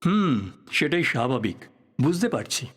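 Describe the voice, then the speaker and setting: An actor playing an earnest, persistent journalist in an audio drama, speaking politely and probingly in a husky voice. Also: male voice, fairly high pitch, very animated, normal speed, fairly bright tone, full character voice. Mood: polite, reflective. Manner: polite, probing, musing to himself. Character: earnest, persistent male journalist probing his old teacher's death. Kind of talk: Bengali audio drama acting.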